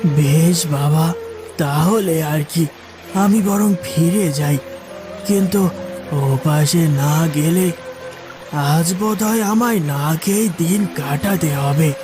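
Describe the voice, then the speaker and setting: A man playing a gentle, humble old man in a cartoon, speaking with hesitant politeness. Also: a little high, takes it slowly. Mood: humble, pleading. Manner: hesitant, pleading. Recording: music behind the voice.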